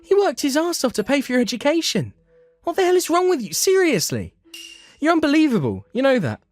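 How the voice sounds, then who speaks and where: Man doing a shrill, outraged youngest brother. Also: huge pitch swings, a little fast, no room sound, faint music behind, full character voice. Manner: indignant scolding. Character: youngest brother, high-pitched, outraged and scolding. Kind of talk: manga dub character acting.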